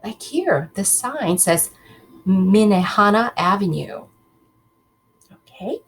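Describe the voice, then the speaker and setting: A woman's voice: mid-range voice, lively intonation, takes it slowly, a little hiss or hum. A woman teacher reading a children's book aloud, expressively, breaking off to question her pupils directly.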